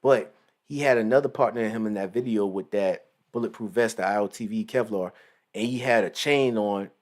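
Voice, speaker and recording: A man in his late twenties giving rambling, slangy casual commentary with lots of asides. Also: mid-range voice. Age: 20s. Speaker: commentary channel host.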